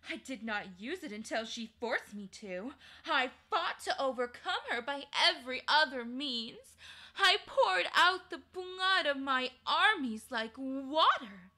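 A woman's voice: sits high, expressive, a little slow, bright, forceful tone, pitched above the performer's own voice, full character voice. A woman voicing a proud, cruel ancient queen in a storybook reading, haughty and declaiming.

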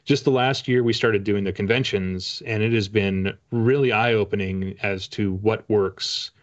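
A middle-aged man with a fairly low voice, chatting as a guest on a video call. He is wry and a little hesitant as he explains things. The sound is somewhat dull and lo-fi. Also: steady tone, a little slow. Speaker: guest, author. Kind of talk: casual interview talk. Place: book-lined room at home.

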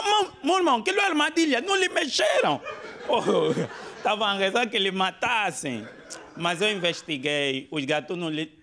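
A man's voice: sits high, pushed and bright. Angolan male stand-up comic, noisy live club. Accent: Angolan Portuguese. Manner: colloquial, peppered with swearing. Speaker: stand-up comedian. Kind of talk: stand-up comedy. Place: comedy club stage with audience. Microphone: handheld microphone.

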